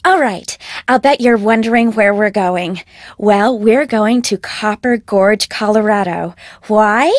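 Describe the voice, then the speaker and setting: A woman's voice: mid-range voice, measured pace, no echo, clean studio sound, close to an everyday voice. An actress playing a young, spoiled American socialite in a video game, gushing and self-important as she talks. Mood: breezy, dramatic. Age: young adult.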